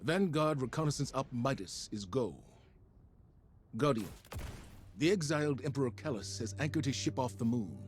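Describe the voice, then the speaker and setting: A man plays an authoritative military commander from a video game. He delivers a mission briefing in a stern, formal and clipped manner. Music sits softly behind his voice. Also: mid-range voice, lively intonation, normal speed, lightly acted. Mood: stern, businesslike.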